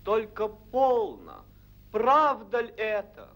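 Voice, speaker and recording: An actor playing a yearning young fairy-tale prince in a 1940s cartoon, reciting lyrical verse. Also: male voice, high-pitched, wildly swooping pitch, slow pace, noisy background, full character voice. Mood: wistful. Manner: lyrical, declamatory verse delivery. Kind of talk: 1940s animated fairy-tale film acting. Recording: old 1940s film soundtrack, music behind.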